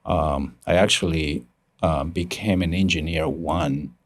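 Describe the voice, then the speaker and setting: A man tells his story as a podcast guest. His voice sits very low with a gravelly fry, and he talks earnestly, restarting phrases and chuckling. The sound is dry and close. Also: fairly even intonation, a little slow, dry recording. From Ecuador. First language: Spanish. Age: 50s. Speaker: guest, engineering executive. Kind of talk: casual interview talk.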